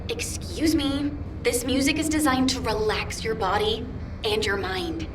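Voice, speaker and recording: A woman's voice: a little high, fairly even intonation, a little slow, noisy background, close to an everyday voice. A woman voicing a free-spirited young female musician who is dreamy and sassy, talking about music and feelings. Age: young adult. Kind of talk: video game cutscene voice acting. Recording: echoey with music behind.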